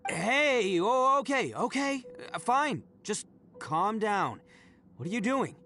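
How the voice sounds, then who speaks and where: A man's voice: high-pitched, very animated, slow, sharp tone, a little hiss or hum, heavily acted. A man voicing a brash, big-hearted oni warrior in a video game, with bold, informal tough-guy talk. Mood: placating, alarmed. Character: brash, big-hearted male oni warrior, stubbornly loyal to his kin. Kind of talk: dramatic game voice acting. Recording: background music under the voice.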